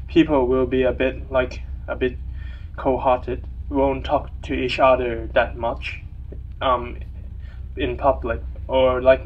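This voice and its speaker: Young man from Hong Kong, student interviewee, halting and filler-heavy, middle pitch, distant muffled mic. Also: a little hiss or hum. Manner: hesitant, many fillers, chuckles between phrases. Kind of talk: documentary interview.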